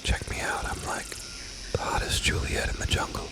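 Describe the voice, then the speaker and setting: A man's voice: normal speed, pushed and bright, close to an everyday voice. A man voicing Chad, a cocky young male gym bro with slangy, boastful talk and a whispery voice.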